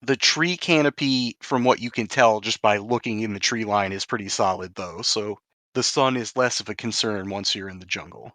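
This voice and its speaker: A man's voice: fairly low pitch, moderate pace, sharp tone, dry recording. A man narrating a tabletop game as game master, explaining things in a conversational way.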